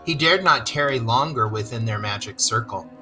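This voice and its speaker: A storyteller reading aloud to children in expressive narration, with explanatory asides. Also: medium pitch, moderate pace, music in the background.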